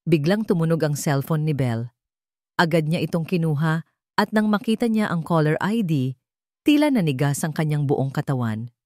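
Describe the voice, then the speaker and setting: A woman's voice: low-pitched, animated, normal speed, mellow, no room sound, studio quality. A woman with a Filipino accent reading a dramatic romance story as its narrator.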